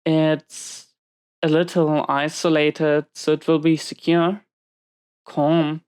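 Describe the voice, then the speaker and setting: A woman's voice: sits low, darkish tone, clean tone. A woman playing a dominant, guarded prison inmate, stern but secretly tender, in an intimate roleplay audio drama, giving clipped orders and hesitant confessions. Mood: wistful, tender.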